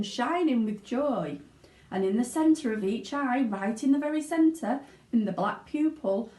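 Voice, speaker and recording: A woman giving an expressive narration of a children's storybook. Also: fairly high pitch. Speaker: teaching assistant reading aloud. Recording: roomy indoor echo.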